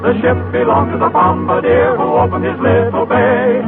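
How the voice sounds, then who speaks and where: Men of a vocal group singing a 1940s radio novelty song. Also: male voice, extremely high pitch, monotone, normal speed, creaky. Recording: tinny 1940s radio recording with music.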